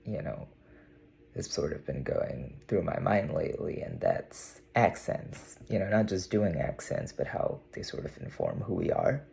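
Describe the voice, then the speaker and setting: Low, gravelly young man, musing. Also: big pitch swings, unhurried, darkish tone. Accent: American, put on. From India. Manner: musing, meandering, with frequent "you know". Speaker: vlogger. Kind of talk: casual reflective monologue to camera. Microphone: camera mic, talking to camera.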